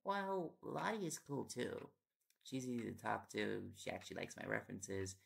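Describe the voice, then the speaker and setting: Man acting a gravelly, affectionate young boyfriend. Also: lowish voice, moderate pace, full character voice. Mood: chatty, fond. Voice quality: gravelly, creaky. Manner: playful coaxing, chatty. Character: affectionate, teasing young male reporter boyfriend. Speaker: audio roleplay voice actor. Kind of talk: intimate boyfriend audio roleplay, sleep aid. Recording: loud background noise with clicks. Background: ticking and clicking sounds.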